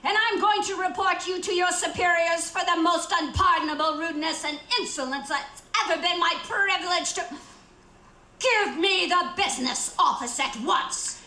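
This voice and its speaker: A frantic, easily outraged wife in a radio drama, pitched extremely high and shrill. She is imperious and stammers with melodramatic indignation. The room echoes around her. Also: moderate pace, pushed and bright. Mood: outraged, haughty. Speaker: actor in radio play. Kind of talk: radio theater acting.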